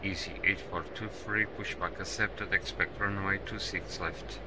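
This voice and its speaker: Male game streamer, deep register, controller phraseology plus asides, over clearly audible engine-like background noise. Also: steady tone, measured pace. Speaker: streamer playing an air traffic control simulator. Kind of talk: gaming stream commentary with controller radio phraseology.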